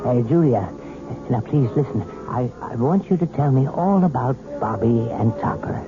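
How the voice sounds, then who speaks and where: Male actor as coaxing hypnotherapist, deliberate and probing, mid-pitched, vintage radio play with narrow tinny band and music. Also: animated, natural voice. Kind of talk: 1970s radio drama acting.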